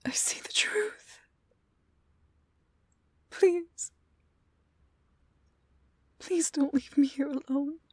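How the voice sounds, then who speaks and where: Casting: actress playing young fantasy heroine, high voice, very slow breathy pleading, devoted and emotional. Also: female voice. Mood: tearful, guilty. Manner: breathy, halting, pleading delivery. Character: young woman pleading tearfully for her beloved beast. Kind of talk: emotional fantasy audio roleplay.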